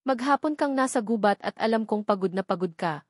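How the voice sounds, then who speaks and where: A woman's voice: medium pitch, expressive, a little fast, no echo, clean studio sound, lightly acted. A woman voicing a serious, bossy young husband with a stern, reproachful manner in an audiobook. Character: serious, bossy young husband scolding his stubborn wife.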